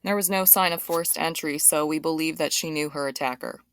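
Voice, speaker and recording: An actress playing a matter-of-fact homicide detective, giving formal, factual testimony in a courtroom audio drama. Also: female voice, sits low, fairly quick, a bit bright.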